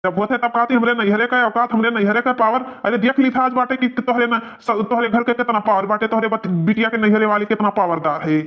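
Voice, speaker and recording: A man's voice: extremely high pitch, fairly even intonation, a little fast, few pauses. A man voicing a quarrelsome, blustering village man in an Awadhi cartoon comedy, scolding in exaggerated dialogue. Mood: defiant. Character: blustering, quarrelsome village men of a feuding family. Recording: dull, lo-fi cartoon soundtrack.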